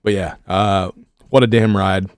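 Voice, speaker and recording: A man co-hosting a casual chat show, his voice going hoarse, reviewing with enthusiasm. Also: low voice, fairly even intonation, measured pace, no echo, studio quality. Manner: enthusiastic, rating and reviewing at length. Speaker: podcast co-host.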